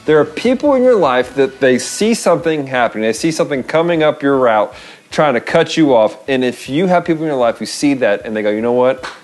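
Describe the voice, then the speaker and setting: An American man in his thirties hosting a vlog, casually telling a story with expressive emphasis and repeated phrases. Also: mid-range voice, a little fast, faint music behind. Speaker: show host. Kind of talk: casual storytelling with devotional encouragement. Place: small wood-panelled room, indoors.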